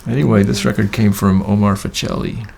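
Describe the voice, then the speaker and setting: Low, very creaky older man. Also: fairly even intonation, a little fast, crowd noise. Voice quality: gravelly, creaky voice. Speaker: guest recounting record-collecting trips. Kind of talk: casual conversation, anecdotes.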